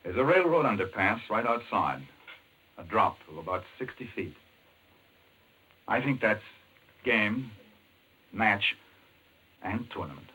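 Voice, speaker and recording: An actor playing a resolute, commanding hero in a 1940s radio drama, with clipped, declamatory delivery. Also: male voice, fairly low pitch, sing-song, a little slow, long pauses, bright, forceful tone, husky, exaggerated voice, rough recording. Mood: triumphant, grim. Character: resolute heroic man confronting a killer, commanding. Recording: vintage radio, faint background noise.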